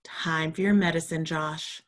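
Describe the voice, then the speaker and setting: A woman voicing a caring, attentive mother looking after a sick child, gentle and reassuring, in a children's storybook. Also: deep voice, steady tone, measured pace. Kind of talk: children's storybook read-aloud.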